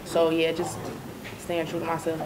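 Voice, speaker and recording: A woman's voice: low-pitched, completely flat delivery, normal speed. A woman talking casually as a music artist on a panel, with noise in the background.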